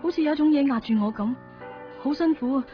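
A woman's voice: high voice, quick pace, close to an everyday voice. An actress playing a devoted, sentimental young woman in a Cantonese radio drama, earnest and emotional, with a Hong Kong accent. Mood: shaken, reflective. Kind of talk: 1990s Cantonese radio drama acting with first-person narration. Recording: distant, dull radio recording with music.

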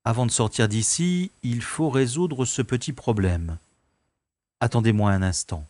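A man's voice: mid-range voice, a little slow, darkish tone, natural voice. A man playing a wry, gallant adventurer in an audio drama, speaking formally and thinking aloud. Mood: wry, reassuring. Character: wry, gallant male adventurer rescuing a young woman. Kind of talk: French-language audio drama acting with first-person narration. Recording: echoey long reverb, music behind.

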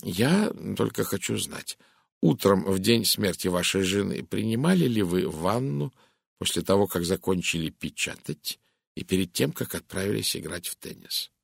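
A man voicing a polite, methodical little Belgian detective in an audiobook, courteous, measured and deliberate. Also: low-pitched, animated, creaky, slightly rough, no echo, clean studio sound, full character voice. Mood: polite, probing. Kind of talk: audiobook character voice.

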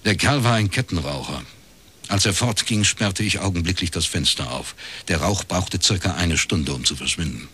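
A man's voice: low voice, expressive, normal speed, bright, forceful tone, husky, exaggerated voice. An actor playing a sceptical, laconic, middle-aged private detective in a radio crime drama, a man who speaks dryly and tersely. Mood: wry, reflective. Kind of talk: radio crime drama acting and first-person narration.

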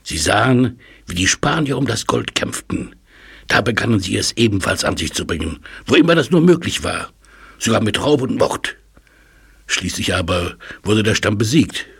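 A man playing a learned, absorbed professor in a German audio drama, musing and explaining with thoughtful pauses. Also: fairly low pitch, lively intonation, gravelly, a bit bright, husky, heavily acted. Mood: intrigued. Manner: musing, lecturing, hesitant pauses. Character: elderly learned male language professor, absorbed and astonished.

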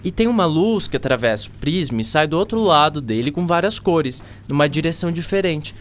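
A woman's voice: low voice, animated, a little fast, slight background noise. A woman playing a joking, laid-back teenage schoolboy with a Brazilian accent and slangy talk in an educational radio drama. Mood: earnest, casual. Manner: casual, slangy, teasing.

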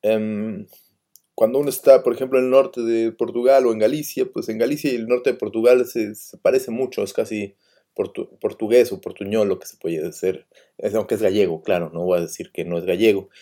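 Low mellow man, rambling. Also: fairly even intonation, a little fast. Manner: rambling, hesitant, many fillers. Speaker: radio host. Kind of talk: casual radio talk.

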